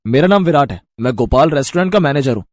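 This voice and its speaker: A man voicing a businesslike restaurant manager in a suit, talking quickly and directly. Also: mid-range voice, animated, darkish tone, no room sound, natural voice.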